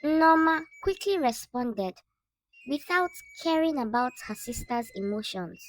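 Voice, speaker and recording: A woman narrating a scripted folktale as a storyteller, in a measured, dramatic way. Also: sits high, animated, slight background noise.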